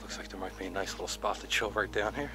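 A man's voice: deep voice, steady tone, fast, music in the background. A middle-aged man chatting casually and enthusiastically while hiking wooded mountain trails outdoors, recorded on an action camera. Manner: enthusiastic, musing aloud while walking. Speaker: hiking vlogger.

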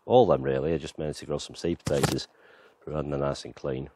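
A man with a very deep, low voice talks his way around his allotment and garden. His delivery is relaxed and rambling, with plenty of ums and you-knows. He is outdoors, filming on a handheld camera. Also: fast, noisy background. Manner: relaxed, rambling, lots of fillers. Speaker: gardening vlogger. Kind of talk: casual walk-around garden tour.

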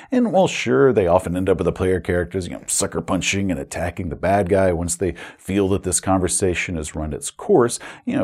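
A man's voice: fairly low pitch, vocal fry, breathy edge. An American man in his forties giving casual, emphatic commentary to camera as a game master. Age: 40s. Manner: animated, conversational, emphatic. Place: small room at home, indoors.

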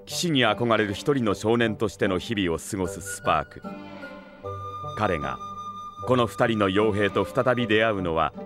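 A man's voice: on the low side, expressive, normal speed, natural voice. A man narrating an audio drama in a scripted, dramatic storytelling style. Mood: solemn. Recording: loud music under the voice.

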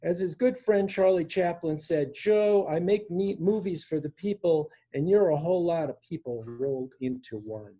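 A man in his sixties reading poetry aloud in a measured way, pausing between phrases, recorded at home on a video call. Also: fairly high pitch, mellow, husky, low-quality recording. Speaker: poet reading own work. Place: indoor room at home. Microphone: device mic on a video call.

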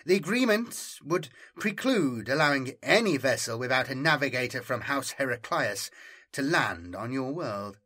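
A man plays a pompous male rogue trader in a science fiction audiobook. He speaks with courtly formality and measured care. The voice is bright and forceful. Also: medium pitch, expressive. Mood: formal, proclaiming. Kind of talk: audiobook character dialogue.

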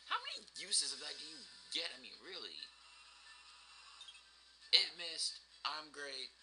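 A man's voice: fairly high pitch, lively intonation, measured pace, sharp tone, faint music behind, poor audio. A young man giving casual, rambling gameplay commentary with sardonic, sweary complaints. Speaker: gamer, let's play host. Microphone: shared microphone, home gameplay recording.

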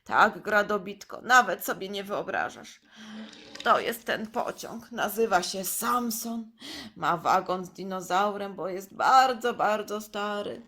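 Lady doing a kindly old gnome-like guy, pitched down, patiently showing off toys for kids. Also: lively intonation, slow, bright, forceful tone, full character voice. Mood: proud, patient. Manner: patient, explanatory. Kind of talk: playful toy-play storytelling for children.

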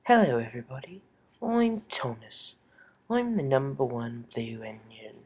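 A man's voice: mid-range voice, lively intonation, measured pace, husky. A man voicing an eager, cheerful little tank engine from a children's cartoon, friendly and simple in manner. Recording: dull lo-fi home recording.

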